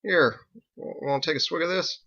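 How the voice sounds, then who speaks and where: A man's voice: on the high side, moderate pace, gravelly, sharp tone, highs cut off, pitched above the performer's own voice, heavily acted. A man voicing an older, folksy hunter in an audiobook, blunt and rustic in his talk. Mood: queasy. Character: gruff older male hunter, folksy and unbothered. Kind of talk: audiobook character acting.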